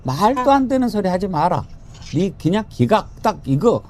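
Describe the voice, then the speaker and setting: A man hosting a political panel talk, lively and joking, throwing questions to guests. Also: high voice, moderate pace. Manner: lively, joking, quotes with raised voice. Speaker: talk show host.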